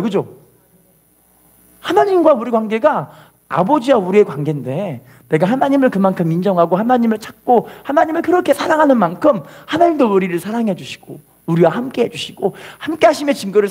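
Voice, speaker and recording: A man preaching a church sermon as a pastor, with a husky, strained voice, urging listeners on with rhetorical questions and having them repeat after him. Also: very high voice, darkish tone. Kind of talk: fervent Korean church sermon with call-and-response.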